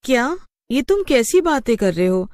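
A woman voicing an earnest, pleading young village wife in a cartoon story, expressive and questioning. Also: high voice, moderate pace, dry recording, clean studio sound, voice pushed up. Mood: surprised, pleading. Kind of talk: animated story dialogue.

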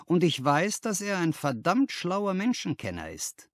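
A man voicing a brisk, patronising company boss in an audiobook, businesslike and used to giving orders. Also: sits high, wildly swooping pitch, no room sound, clean studio sound, pitched above the performer's own voice, heavily acted. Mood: brisk, commanding. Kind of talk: audiobook character dialogue.

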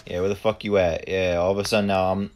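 A man's voice: low voice, level tone, background noise. A man giving live gameplay commentary, blunt and profane, ranting at the other players. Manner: profane, blunt, quick to rant. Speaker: gamer streamer.

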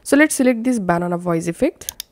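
A woman narrating a step-by-step software tutorial as an instructor. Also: on the low side, expressive, fairly quick.

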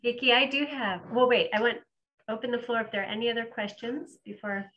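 A woman's voice: on the high side, fairly quick, highs cut off, a little hiss or hum. A middle-aged woman hosting a video-call Q&A, phrasing questions thoughtfully and repeating words as she searches. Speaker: webinar host. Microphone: webcam video call.

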